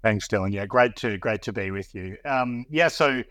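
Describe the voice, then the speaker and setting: A man's voice: on the low side, normal speed, a bit bright, no echo, clean studio sound. An Australian man speaking as a podcast guest in casual interview talk, often repeating words as he finds his phrasing. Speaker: podcast guest, business executive. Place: Austin, Texas (based).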